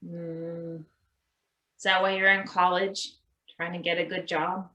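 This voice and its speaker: A woman's voice: lowish voice, level tone, a little slow, bright, forceful tone. A woman playing a wry, sympathetic maintenance worker in a satirical play reading, casual in delivery, with roomy echo. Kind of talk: table read of a satirical stage play.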